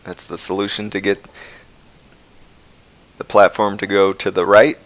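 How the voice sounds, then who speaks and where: Low voiced guy doing a game walkthrough, pointing out where to go. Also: flat delivery, measured pace, a bit bright. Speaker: video game guide narrator. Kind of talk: walkthrough commentary.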